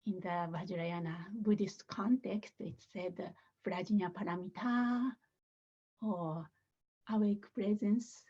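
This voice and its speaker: A woman meditation teacher leading a guided meditation softly and gently, with many pauses and a breathy voice that often nears a whisper. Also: medium pitch, highs cut off.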